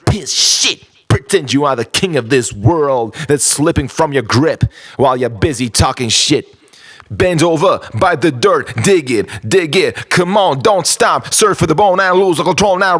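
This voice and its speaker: A man performing a theatrical spoken word monologue in short, deliberate phrases, dramatic and shifting between hushed and loud delivery. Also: fairly high pitch, lively intonation, breathy edge, dry recording. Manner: dramatic, swinging between hushed whispers and shouted outbursts.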